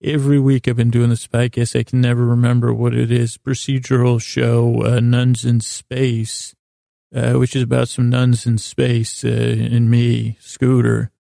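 A male podcast host with a heavily creaky, gravelly voice and a flat, narrow melody. He wanders through a sleep-podcast monologue, halting and doubling back in a self-deprecating way. The recording is close and dry. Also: mid-range voice, no echo. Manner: meandering, halting, self-deprecating, often losing his thread.

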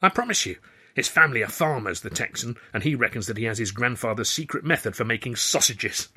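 A man's voice: medium pitch, animated, fast talker, sharp tone, husky, exaggerated voice. A man doing a cheeky gossiping young man. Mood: eager gossip. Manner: eager, conspiratorial.

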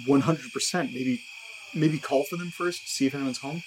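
A man's voice: medium pitch, normal speed, close to an everyday voice. A man acting a wary but determined young man in a horror audio drama, speaking tersely and full of questions. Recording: noisy amateur location recording, quiet.

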